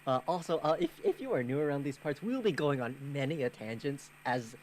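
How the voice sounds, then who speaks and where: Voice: mid-range voice, expressive, a little fast, a little hiss or hum. A streamer hosting a game livestream with casual, joking, playfully bossy banter. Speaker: streamer, host. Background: background music.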